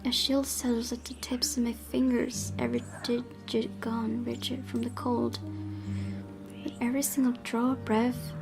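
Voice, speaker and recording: A woman gaming host reading horror visual novel narration aloud with dramatic emphasis, often dropping into hushed, breathy tones. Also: a little high, little pitch movement, moderate pace. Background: game music.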